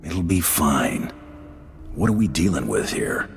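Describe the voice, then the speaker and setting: An actor playing a cold, cocky bounty hunter in a video game, with a gravelly voice and a curt, dry delivery. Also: male voice, very deep voice, very animated, measured pace, heavily acted. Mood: cool, cocky. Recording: reverberant, with background music.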